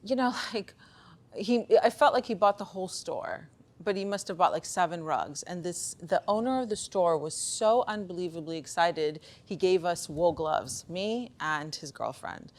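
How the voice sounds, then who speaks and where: A woman speaking casually in a motivational talk, telling personal stories in an animated, conversational way. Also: fairly low pitch, moderate pace. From Pakistan, of Afghan heritage. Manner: conversational, animated storytelling, acting out bits of dialogue. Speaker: speaker giving a conference talk on beliefs and performance.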